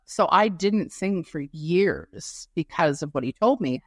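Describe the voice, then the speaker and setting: A woman with a notably low, deep voice, co-hosting a casual podcast. She tells stories in an animated, self-mocking way, recorded close and dry. Her tone stays low and full. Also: unhurried, no echo. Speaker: podcast co-host. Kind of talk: casual chat.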